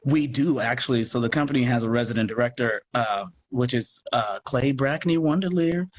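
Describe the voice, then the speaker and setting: A man chatting casually as an interview guest, expressive and thinking aloud as he explains his work. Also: medium pitch, moderate pace. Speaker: radio play producer and guest. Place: remote live video chat.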